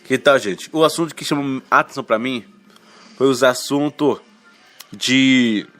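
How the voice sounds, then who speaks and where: Male radio newsreader, slightly congested with a cold. Informal, halting headline delivery with tag questions, medium pitch, music bed. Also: a bit bright. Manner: colloquial, halting, stumbles over words and self-corrects, tags remarks with questions. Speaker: radio news presenter. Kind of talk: informal reading of radio news headlines with asides.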